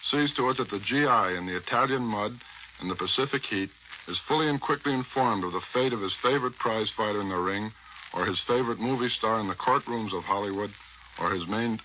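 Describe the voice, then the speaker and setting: A low-pitched American man reads a scripted radio feature with a bright, forceful edge. His manner is wry and emphatic, on a muffled 1940s broadcast. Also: a little hiss or hum. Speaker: radio reporter and interviewer.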